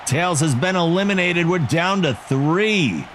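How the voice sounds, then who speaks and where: Guy doing excited wrestling play-by-play, kinda high voice, loud game crowd behind him. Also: unhurried, slight background noise. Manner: excitable, self-joking asides between calls. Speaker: play-by-play commentator for a video game league. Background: video game arena crowd.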